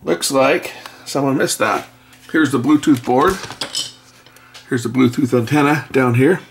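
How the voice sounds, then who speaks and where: Middle aged guy doing casual repair walkthrough, gravelly lowish voice, kinda halting, faint noise n clicks at the bench. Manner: halting, thinking aloud while working. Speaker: electronics repair presenter. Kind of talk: casual hands-on teardown narration. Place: indoor workbench. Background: faint noise, clicks of handled parts.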